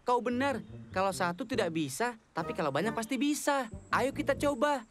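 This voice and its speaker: A woman's voice: on the high side, lively intonation, normal speed, close to an everyday voice. A woman voicing a confident, inventive schoolboy of about twelve in a children's cartoon, with a self-assured, reassuring manner. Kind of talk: animated children's cartoon dub. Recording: music behind the voice.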